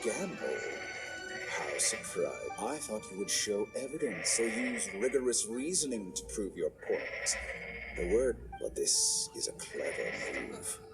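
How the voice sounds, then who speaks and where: A man voicing an arrogant, calculating villain scholar in a video game, speaking in a measured, condescending, theatrical way. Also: fairly low pitch, sing-song, low-quality recording. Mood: smug intrigue. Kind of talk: dramatic video game voice acting. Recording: music under the voice.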